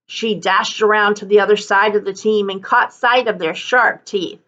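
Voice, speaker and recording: A woman reading a children's story aloud like a teacher, with deliberate, dramatic emphasis. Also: medium pitch, fairly even intonation, a bit bright, dull audio. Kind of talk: expressive children's story narration.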